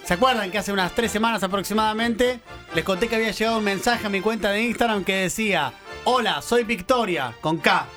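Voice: medium pitch, brisk. A chatty radio host with a Rioplatense accent talks to a guest in the studio and laughs easily. Faint music runs underneath. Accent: Rioplatense Spanish. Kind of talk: radio interview.

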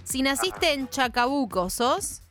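A woman's voice: high-pitched, very animated, slow, over music. An Argentine woman co-hosting a radio show, chatting playfully and quizzing a caller. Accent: Argentine Spanish. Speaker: radio co-host.

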